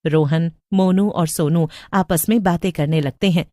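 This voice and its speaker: A woman's voice: fairly low pitch, unhurried, dark tone, dry recording, studio quality. A woman narrating a scripted story as a storyteller.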